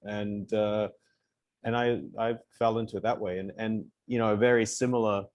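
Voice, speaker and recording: Man from New Zealand, low voice. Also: level tone, moderate pace, a little mellow, muffled recording. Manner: joking, self-deprecating storyteller. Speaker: colorist panelist. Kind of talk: casual panel talk.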